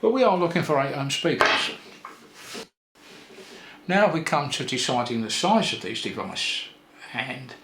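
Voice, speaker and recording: An older man talks through a hands-on repair job in a casual, unhurried way, often pausing to think aloud. His mid-pitched voice carries noticeable room echo. There is faint noise behind him. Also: lively intonation, a bit bright. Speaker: electronics repair presenter. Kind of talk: casual hands-on tutorial narration.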